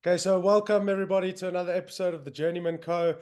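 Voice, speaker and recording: A man's voice: high voice, steady tone, rapid-fire, darkish tone. A young man with a South African accent, hosting a podcast in loose, enthusiastic casual chat with lots of fillers. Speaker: podcast host. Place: home in northern England, on a video call.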